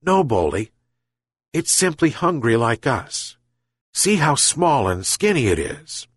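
A man voicing a poor, weak old fisherman in a storybook, speaking gently and wearily. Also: mid-range voice, big pitch swings, takes it slowly, husky, no echo, clean studio sound, exaggerated voice. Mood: weary. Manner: weary, gentle.

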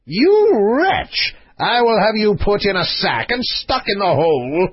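A man's voice: extremely high pitch, very animated, brisk, husky, dull audio, voice pushed up, heavily acted. A man voicing a hot-tempered, pompous old king in a storybook, with blustering, imperious exclamations. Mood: furious. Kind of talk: storybook character voice.

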